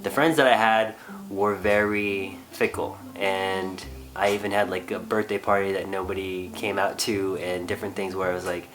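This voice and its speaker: A man in his thirties recalling how he met his wife, speaking in a halting casual way with many restarts and fillers. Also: sits low, little pitch movement, fairly bright tone, poor audio. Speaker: guest, host's husband. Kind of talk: casual recollection.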